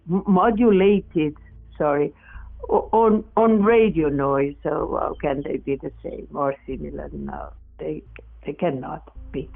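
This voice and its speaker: A speaker from Portugal, answering interview questions in a halting way, often restarting and correcting words. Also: medium pitch, gravelly. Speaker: experimenter in spirit communication. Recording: tinny phone-like audio, faint music.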